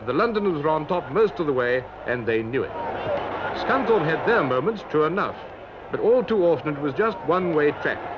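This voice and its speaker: A man's voice: on the high side, sing-song, a little fast, heavy background noise. Man narrating a 1950s newsreel, muffled vintage sound. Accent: British. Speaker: newsreel reporter. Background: music.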